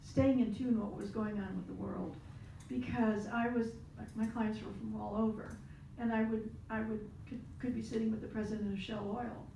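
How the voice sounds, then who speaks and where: A woman's voice: mid-range voice, steady tone, normal speed, creaky, warm tone, slight background noise. A woman in her seventies speaking reflectively as a panelist, with some restarts. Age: 70s. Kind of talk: panel discussion. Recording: roomy hall audio from far off.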